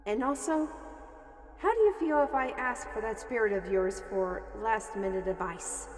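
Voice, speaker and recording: A wary fantasy heroine acted by a woman speaks in a fairly high, earnest voice. She is determined and emphatic, in a reverberant space with music behind her. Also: moderate pace, lightly acted. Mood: urgent, thoughtful. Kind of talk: fantasy audio roleplay acting.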